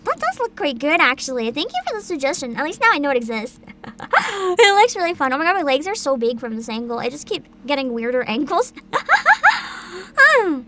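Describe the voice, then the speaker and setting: A young woman hosting a livestream, chatting casually with an excitable, giggly, informal manner. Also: high voice, fairly quick, background noise. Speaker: livestream host, vtuber. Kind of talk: casual livestream chat and reactions. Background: background music.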